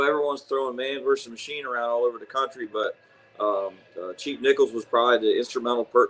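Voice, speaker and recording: A man co-hosting a firefighter webcast, talking casually and haltingly with many fillers. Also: mid-range voice, fairly bright tone, low-quality recording. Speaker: firefighter co-host.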